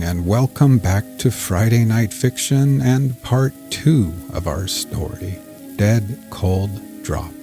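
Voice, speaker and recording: A man hosting and narrating scripted crime fiction in a measured, theatrical way, in the manner of old-school mystery shows. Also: medium pitch, creaky, mellow. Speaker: podcast host and narrator.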